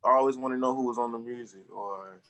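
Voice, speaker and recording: A man's voice: medium pitch, fairly quick, a bit bright. A man in his twenties, a guest musician, talking loosely and trailing off in a casual interview. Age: 20s.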